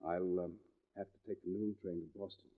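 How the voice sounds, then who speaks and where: An actor playing a troubled, secretive husband in a 1940s radio suspense drama, with a halting, evasive delivery. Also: male voice, sits low, fairly even intonation, natural voice. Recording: vintage radio, phone-like narrow band.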